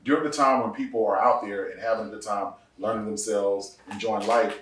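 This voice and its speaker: A man in his forties, a pastor, telling his testimony in a casual podcast interview, laughing often and jumping up in pitch for punchlines. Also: a little fast, recorded from a distance, slight background noise. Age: 40s. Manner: animated storyteller, laughs often, rises sharply for punchlines. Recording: echoey room, voice off-mic.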